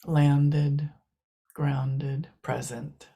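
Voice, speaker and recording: Female meditation guide: low, mellow, hushed delivery in short, spaced-out phrases. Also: flat delivery, husky. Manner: soft, hushed, long pauses between short phrases.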